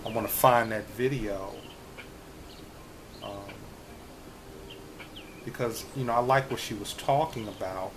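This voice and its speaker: A man in his fifties with a soothing voice, vlogging casually from a hotel room, talking slowly with many restarts. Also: on the low side, background noise. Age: 50s. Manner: slow, repetitive, many restarts and fillers. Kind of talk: casual vlog chat. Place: extended stay hotel room.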